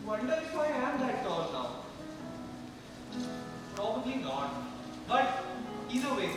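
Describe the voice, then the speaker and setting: A man playing a wistful, confused sixteen-year-old boy in a stage play, speaking in a halting, musing way. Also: very high voice, far from the mic, exaggerated voice. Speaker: amateur stage actor. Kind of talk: live stage play monologue. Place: stage in a large hall. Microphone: distant microphone. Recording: very echoey hall from afar, music.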